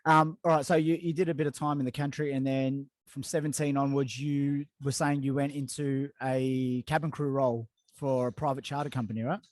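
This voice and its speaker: A man's voice: mid-range voice, a little fast, hardly any pauses, no room sound. Laid-back man hosting a podcast. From Australia. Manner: relaxed, rambling, lots of fillers. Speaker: podcast host. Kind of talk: casual interview chat. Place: living in Dubai.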